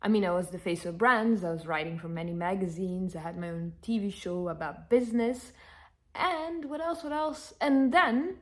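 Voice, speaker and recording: A woman in her thirties from Northern Italy, a native Italian speaker, chatting to camera in an animated, emphatic way, answering followers' questions. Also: mid-range voice, normal speed. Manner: animated, emphatic, repeats herself. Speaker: content creator. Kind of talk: casual Q&A chat to camera.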